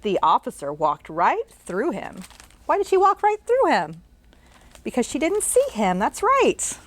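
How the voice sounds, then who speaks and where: Lively woman reading a picture book aloud. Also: a little high, measured pace. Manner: animated, talks to young listeners with questions. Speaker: children's librarian.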